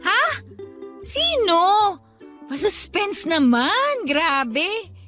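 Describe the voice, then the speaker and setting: High-pitched, lively young Filipina daughter. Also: female voice, very slow, soft background music, heavily acted. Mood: impatient, curious. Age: young adult. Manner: dramatic, slangy banter. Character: exasperated, nosy, teasing grown-up daughter. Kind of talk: Tagalog radio drama acting.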